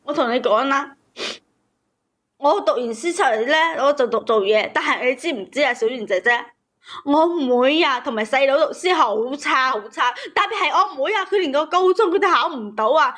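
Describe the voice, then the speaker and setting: High voiced woman phoning in w her personal story, plaintive n kinda aggrieved. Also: animated, normal speed, fairly bright tone. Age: 30s. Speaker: caller.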